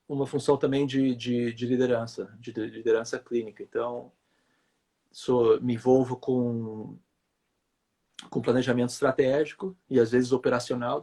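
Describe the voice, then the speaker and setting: A man's voice: medium pitch, darkish tone, slightly rough. A man from Brazil, a physiotherapist, giving long explanatory interview answers, often hesitating and repeating words. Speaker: physiotherapist guest.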